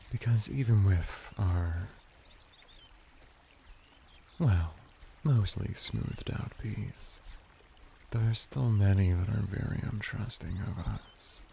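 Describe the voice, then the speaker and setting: A man voicing a flirty, calm merman bathhouse attendant in an ASMR roleplay, intimate and courtly, with formal, flattering speech. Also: sits low, gravelly, a little hiss or hum, heavily acted. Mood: tender, flirtatious. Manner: soft-spoken, intimate, formal flattering speech with lingering pauses. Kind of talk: ASMR roleplay addressed to the listener.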